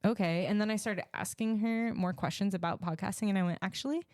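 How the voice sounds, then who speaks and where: A woman's voice: medium pitch, fast, no room sound, studio quality. A woman hosting a podcast in a casual, chatty, conversational way. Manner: self-described loud talker. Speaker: podcast host. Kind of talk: casual chat.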